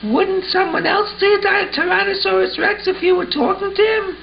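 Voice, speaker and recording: Man doing a high-pitched, skeptical boy puppet. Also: normal speed, creaky. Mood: disbelieving. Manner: incredulous, questioning. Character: Jimmy, skeptical high-voiced boy puppet, teasing best buddy. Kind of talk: amateur puppet show acting. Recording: muffled audio with faint background noise.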